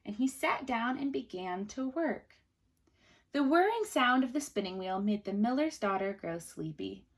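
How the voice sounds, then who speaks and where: A woman teacher reading a storybook aloud to children, pausing to explain things simply and ask questions. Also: fairly high pitch, very animated.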